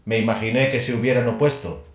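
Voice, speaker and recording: A man voicing an eccentric, detached machine-augmented adept in an audio drama, halting and clinical in his talk. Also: mid-range voice, steady tone, roomy sound, crowd noise, lightly acted. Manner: halting, clinical, mechanically repeats procedural words.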